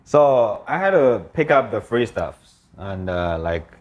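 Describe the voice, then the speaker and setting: A man from China talks in English, his second language, with a Chinese accent. His voice sits a little low, and his phrases come in short, simple pieces with frequent pauses and fillers. He sounds like a guest in a relaxed interview. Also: dull audio. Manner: plain, slightly broken English with frequent "uh" and "you know". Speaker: guest, land investor. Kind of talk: casual interview.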